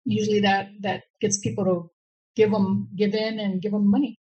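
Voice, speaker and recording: An older woman speaks at an ordinary mid pitch, reflective and a little stunned. She talks things through plainly. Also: flat delivery, normal speed, darkish tone, dull audio. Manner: reflective, says wow often. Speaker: guest.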